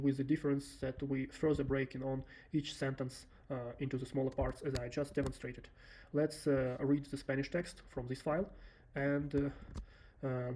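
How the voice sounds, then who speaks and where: A man's voice: mid-range voice, darkish tone. Russian-accented man explaining code. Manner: unhurried, explanatory, frequent "uh" fillers. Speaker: software developer presenting a demo. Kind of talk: screen-recorded coding walkthrough.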